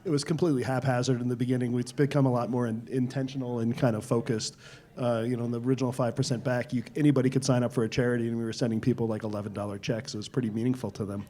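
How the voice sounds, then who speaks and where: A man's voice: mid-range voice, flat delivery, very fast, warm tone. A man speaking conversationally as an investor interviewed at a live event. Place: on stage at a live pub talk event.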